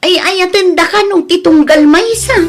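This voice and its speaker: An actress with an Ilocano Filipino accent playing a concerned, scolding adult daughter in an audio drama, earnest and admonishing. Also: female voice, extremely high pitch, moderate pace, over music. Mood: worried, reporting.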